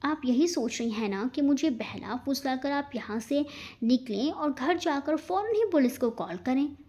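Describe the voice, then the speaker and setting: A woman's voice: high-pitched, lively intonation, darkish tone. Woman narrator as a cool, menacing young man. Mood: coolly taunting. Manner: measured, threatening.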